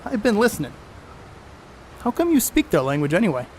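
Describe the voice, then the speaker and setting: A man's voice: high-pitched, huge pitch swings, fairly quick, a little hiss or hum. A man playing a brash, crude, boastful middle-aged 1930s baseball star on stage, cocky and slangy. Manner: cocky, slangy, coarse-mouthed. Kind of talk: stage play acting, 1930s American banter.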